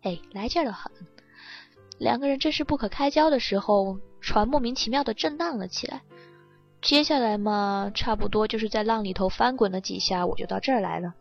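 A woman's voice: medium pitch, a little fast, gravelly, soft background music, natural voice. Dull recording, a woman acting a cool, teasing castaway. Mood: nonchalant. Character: shipwrecked young woman, cool, teasing, nonchalant drinker. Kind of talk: audio drama dialogue.

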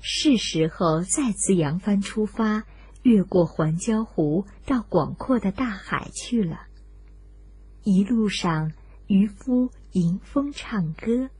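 A woman narrating a scripted children's story in an expressive, deliberate storyteller's manner, addressed to young listeners. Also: mid-range voice, husky, no echo.

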